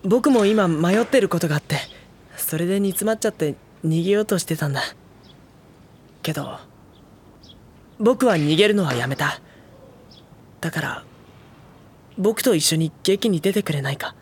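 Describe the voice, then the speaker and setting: A woman playing a sensitive, earnest teenage schoolboy in an audio drama, soft-spoken, sincere and introspective. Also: lowish voice, expressive, moderate pace, long pauses, breathy edge. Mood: resolved. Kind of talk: Japanese audio drama acting and narration. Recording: music behind the voice.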